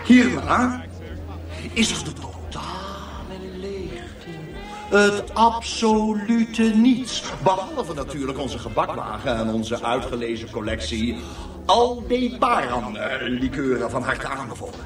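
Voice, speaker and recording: An actor playing a theatrical, gushing master of ceremonies at a restaurant show in a radio comedy drama. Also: male voice, fairly high pitch, lively intonation. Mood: grandly ominous. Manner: theatrical, gushing showman patter with drawn-out words. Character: flamboyant male compère of an end-of-universe restaurant show. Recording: roomy, distant stage sound with music behind.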